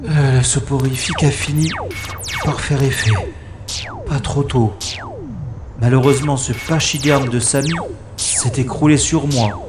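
A wisecracking special agent played by a man tells his own sci-fi adventure in a theatrical audio drama style. His medium-pitched voice is wry, with prominent music playing underneath. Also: measured pace, echoey room, lightly acted. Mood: wry relief. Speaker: lead actor and narrator of an audio drama. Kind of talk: dramatised first-person narration, sci-fi radio play.

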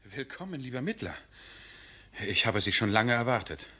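An actor in a 1970s German radio drama playing a stubborn, lovesick aristocratic husband with formal, deliberate phrasing. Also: male voice, medium pitch, sing-song, sharp tone, slight background noise. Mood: anxious, eager. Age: middle-aged.

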